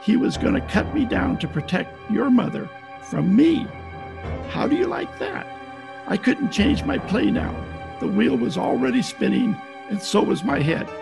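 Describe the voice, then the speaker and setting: Man playing a gravelly hard-boiled radio private eye. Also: extremely high pitch, very animated, unhurried, full character voice. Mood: wry, tense. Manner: slangy, tough-talking, wry. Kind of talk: 1940s radio detective acting. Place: performer's home, recorded remotely. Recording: home video-call recording with music behind.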